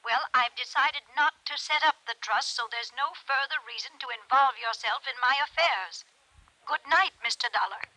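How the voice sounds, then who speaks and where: Composed, firm older society widow, piercingly bright telephone-filtered voice, dry, 1950s radio drama. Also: female voice, sits high, normal speed, no echo. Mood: cool, polite. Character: dignified, wealthy older widow, coolly dismissive. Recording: tinny telephone effect, old radio.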